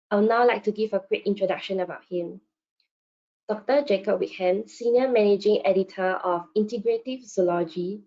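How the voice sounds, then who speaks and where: A woman's voice: mid-range voice, warm tone. A woman hosting a webinar, reading formal scripted announcements and introductions. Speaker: webinar host. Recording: dull, band-limited webinar audio.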